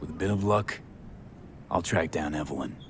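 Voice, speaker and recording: A man's voice: extremely low pitch, moderate pace. An actor playing a blunt, sardonic male mercenary who talks in short, clipped sentences. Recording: game audio with clear background noise.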